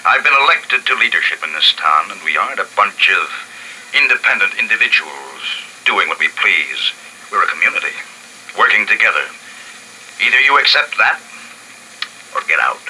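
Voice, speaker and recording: An actor playing a steady community leader in his fifties, narrating calmly and speaking firmly, in vintage radio drama style. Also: sits low, a little hiss or hum, exaggerated voice, poor audio. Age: 50s. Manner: calm, firm, measured narration.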